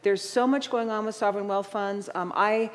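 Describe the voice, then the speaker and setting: A woman's voice: medium pitch, fairly even intonation, normal speed. A woman moderating a public panel, chatting easily through her introductions with a few fillers. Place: large event hall. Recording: long hall reverb.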